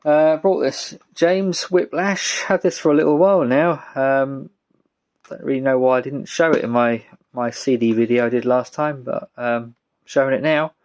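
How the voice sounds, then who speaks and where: Low-key British man, halting casual chat. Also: fairly even intonation, darkish tone. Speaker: vlogger showing a music collection. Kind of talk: casual show-and-tell chat.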